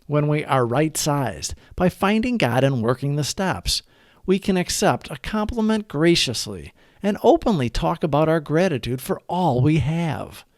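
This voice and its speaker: A man giving an earnest, deliberate read-aloud of a scripted recovery meditation as its narrator. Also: fairly high pitch, very animated, no echo, studio quality.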